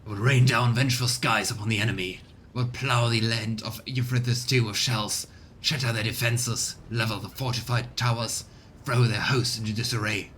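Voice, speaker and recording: Man voicing a grandiose, lower-pitched space wolf warrior. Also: steady tone, moderate pace, a bit bright, a little hiss or hum, lightly acted. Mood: fierce, proud. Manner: grandiose, declamatory. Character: boastful, bloodthirsty male space warrior of a wolf pack. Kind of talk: dramatic video game character reading.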